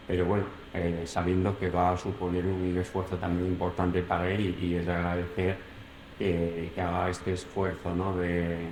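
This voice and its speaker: A man's voice: low voice, darkish tone, roomy sound, slight background noise, rough recording. A man from Spain hosting an interview podcast, asking long questions with lots of hesitant fillers. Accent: Spain Spanish. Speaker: podcast host.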